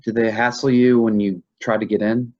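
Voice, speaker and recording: A man acting a shaken, confused husband in grief in a staged play reading, speaking haltingly and repeating himself. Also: lowish voice, flat delivery, darkish tone, highs cut off, natural voice. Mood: subdued, anxious. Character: shaken, confused husband grieving a lost pregnancy.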